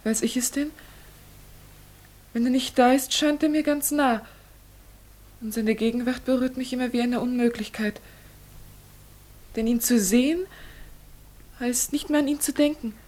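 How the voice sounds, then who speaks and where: A woman's voice: fairly high pitch, a little slow, long pauses, a little mellow. An actress playing an earnest, anguished young lady secretary in a radio play, with an intense, romantic delivery. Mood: wistful. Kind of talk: classic German radio play acting.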